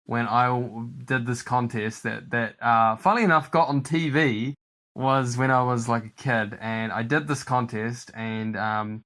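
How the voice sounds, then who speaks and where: A man's voice: mid-range voice, fairly even intonation, unhurried, fairly bright tone. Rambling man chatting casually. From New Zealand. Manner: rambling, laughs often, many fillers. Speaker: podcast host. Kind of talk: casual chat.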